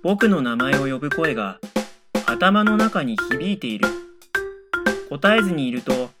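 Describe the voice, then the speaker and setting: A man as an earnest deadpan schoolboy, lively intonation. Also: mid-range voice, unhurried, loud music behind the voice. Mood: earnest narrating. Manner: dry, matter-of-fact retorts. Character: earnest, deadpan middle school boy, quietly correcting his classmate. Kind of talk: radio drama first-person narration and dialogue.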